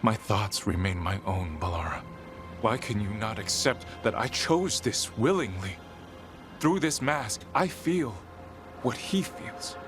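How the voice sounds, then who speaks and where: An actor playing a devout, proud elven zealot in a game cutscene, speaking in a formal, grave and fervent manner. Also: male voice, lowish voice, animated, unhurried. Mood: fervent, defiant. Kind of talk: dramatic video game cutscene acting. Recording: loud background music.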